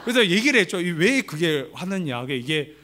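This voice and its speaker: Lively high-voiced Korean pastor with a light Gyeongsang accent. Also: male voice, fairly quick. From Gyeongsang region of Korea. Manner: lively, playful, acts out quoted lines. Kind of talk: family seminar sermon. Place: church.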